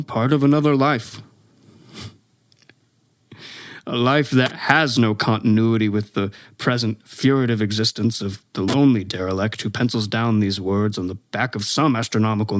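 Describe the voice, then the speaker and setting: An actor playing an exhausted, haunted professor who survived an invasion, delivering a halting, brooding radio play monologue. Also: male voice, lowish voice, no room sound, lightly acted. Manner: halting, brooding, stammering over words.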